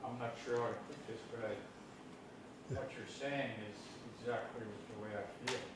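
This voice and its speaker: A man's voice: medium pitch, fairly even intonation, normal speed. A male workshop participant speaking up in a hesitant way, searching for his words. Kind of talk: speaking up from the audience. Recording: echoey room from far off with noise.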